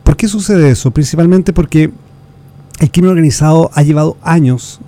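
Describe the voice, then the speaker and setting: A man's voice: fairly high pitch, normal speed, mellow. A Chilean man with a Chilean accent, speaking as a guest expert in an earnest, lecturing way, asking rhetorical questions and restarting words. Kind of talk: explanatory interview talk.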